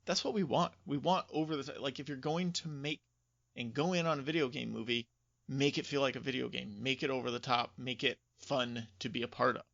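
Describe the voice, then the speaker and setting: A man's voice: mid-range voice, steady tone, a little fast, gravelly, a bit bright, no room sound. A man co-hosting a casual podcast, giving emphatic, informal opinions in a close but slightly dull recording. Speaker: podcast co-host. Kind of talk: casual chat about video game movies.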